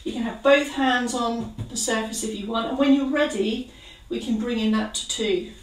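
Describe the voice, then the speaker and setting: A woman leading a gentle exercise class, giving calm, encouraging step-by-step cues. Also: a little high, moderate pace, far from the mic. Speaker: exercise instructor. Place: kitchen. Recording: roomy sound from away from the mic.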